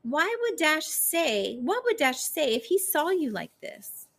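A woman's voice: sits high, very animated, unhurried, fairly bright tone, pitched above the performer's own voice, heavily acted. A woman voicing a determined, desperate young girl in an audiobook, insistent and urgent. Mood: urgent pleading.

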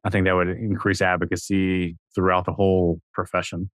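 Thirties guy on a podcast, low monotone voice, rambly earnest points, dry sound. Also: fairly quick, dry recording. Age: 30s. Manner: earnest, steady, long run-on points. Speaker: guest radiologist assistant. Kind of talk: podcast conversation.

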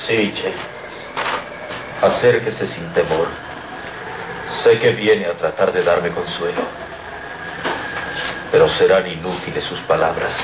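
An actor playing a proud, grieving Egyptian man bent on revenge, speaking gravely and formally in a declamatory radio serial style. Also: deep voice, steady tone, slow, long pauses. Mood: somber. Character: solemn, vengeful Western-educated Egyptian man, proud and grieving. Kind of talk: melodramatic radio serial acting. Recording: muffled noisy old radio recording.

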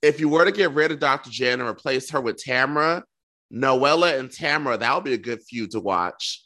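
A man's voice: mid-range voice, moderate pace, pushed and bright. A man co-hosting a casual, gossipy chat show, talking in sassy, campy slang. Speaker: podcast co-host. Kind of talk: casual gossipy chat about reality television.

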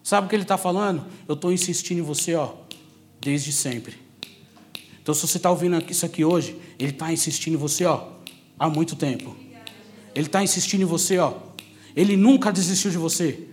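A man's voice: fairly high pitch, a little fast, a little mellow. A man with a Brazilian São Paulo accent preaching a sermon through personal testimony, conversational but emphatic, repeating key phrases for effect.

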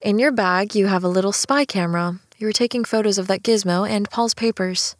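An actress plays a quick-witted young American woman in an audio drama. She speaks in a medium-pitched voice with an American accent, sassy and plain-spoken. The sound is very dry. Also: dry recording, lightly acted. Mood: accusing. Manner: blunt, sassy. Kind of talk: audio drama acting and first-person narration.

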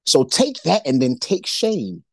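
A man from Nigeria co-hosting a faith-based podcast, talking in an animated, preachy way and acting out the voices he quotes. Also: a little high, unhurried, husky, no room sound, studio quality. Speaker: podcast co-host.

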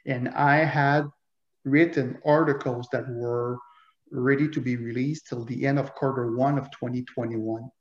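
A man's voice: medium pitch, measured pace. A man whose first language is French, an author telling stories in a casual interview, full of analogies and fillers. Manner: animated storyteller, uses analogies, frequent fillers. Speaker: author and guest. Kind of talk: conversational interview. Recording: echoey, dull lo-fi audio.

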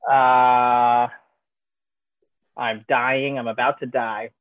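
A man's voice: medium pitch, drawn-out words, no room sound, phone-quality sound. A man in a band, answering interview questions playfully and cracking jokes. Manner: playful, joking, lively. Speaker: band member. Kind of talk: casual interview chat.

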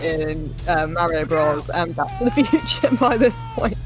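A man's voice: on the high side, lively intonation, measured pace. A man making dry, casual joking asides as a podcast co-host while watching a film. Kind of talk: casual chat, movie reaction commentary. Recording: film soundtrack playing loudly behind. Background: movie audio and music.

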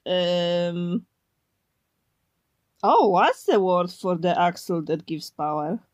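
Podcast co-host: woman, native Polish speaker, unhurried delivery, slangy and playful, close dry sound. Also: on the low side, darkish tone, no room sound. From Poland. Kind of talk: casual unscripted chat.

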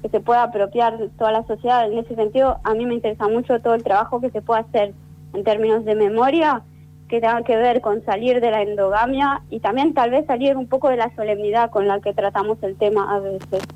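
A woman whose first language is French answers interview questions in a fairly high, mellow voice. She talks slowly and thoughtfully, often breaking off and restarting. The recording sounds muffled and lo-fi. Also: steady tone. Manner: thoughtful, hesitant, many restarts and fillers. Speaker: interview guest, actress and writer. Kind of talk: conversational interview.

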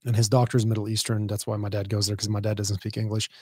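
A man's voice: fairly low pitch, level tone, quick pace, darkish tone, husky, no room sound, clean studio sound. A man who speaks Arabic as his first language, hosting a podcast in casual chat, blunt and sardonic, laughing often. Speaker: podcast host.

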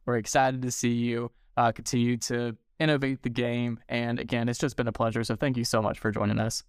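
A man co-hosts a sports interview show. His mid-pitched voice is smooth and clear in a dry recording, and he talks in an effusive, conversational, complimentary way. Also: little pitch movement, a little fast, no echo.

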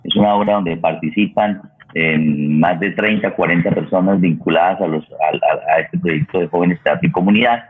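A Colombian man hosting a radio theatre programme, making halting, unscripted announcements and repeating phrases as he searches for words. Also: deep voice, steady tone, husky. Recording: muffled, phone-like radio connection.

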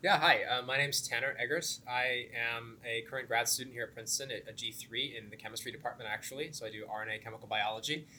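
Fast-talking bright young man. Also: mid-range voice, fairly even intonation. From Florida, United States. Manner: chatty, fast, explains with fillers. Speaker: grad student startup co-founder. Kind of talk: casual interview talk.

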